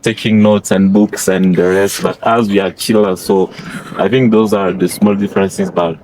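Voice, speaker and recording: A young man from Congo, a French speaker, explaining things in a relaxed, chuckling way. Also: on the low side, normal speed, noisy background. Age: young adult. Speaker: international student.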